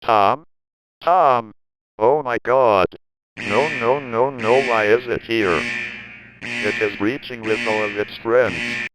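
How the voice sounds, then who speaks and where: A man's voice: medium pitch, slow, long pauses, background noise, lightly acted. A man playing an alarmed agent on a hazmat team in an amateur horror scenario. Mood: panicked.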